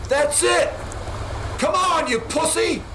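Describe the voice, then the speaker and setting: A man's voice: very high voice, slow, background noise. A man playing a desperate, defiant young horror hero in an amateur radio play, urgent and strained. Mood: shouted taunting.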